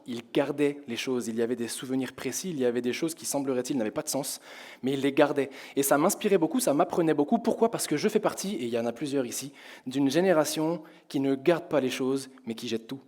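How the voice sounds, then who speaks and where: Hoarse young male pastor, conversational. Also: mid-range voice, a little mellow. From France. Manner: conversational, asks the congregation questions. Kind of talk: sermon. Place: church hall with congregation. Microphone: headset with in-ear monitor.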